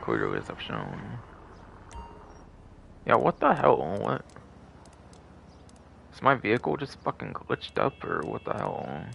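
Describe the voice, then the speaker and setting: A man's voice: on the low side, wildly swooping pitch, slow, creaky, pauses often. A man live-streaming a game, grumbling and swearing casually, often repeating himself as he mutters along. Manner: foul-mouthed, grumbling. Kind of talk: casual live gameplay commentary. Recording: loud noise behind the voice.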